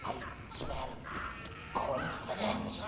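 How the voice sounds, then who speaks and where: An actor voicing a menacing bird-creature leader who speaks slowly and taunts in a gravelly whisper. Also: mid-range voice, animated, music in the background. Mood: taunting. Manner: slow, taunting. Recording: roomy, noisy, from a distance.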